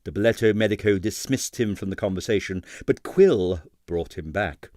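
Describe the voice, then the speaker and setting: A man reading a comic novel aloud as an expressive audiobook narrator. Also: on the low side, moderate pace, no echo, clean studio sound. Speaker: audiobook reader. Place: dry recording space.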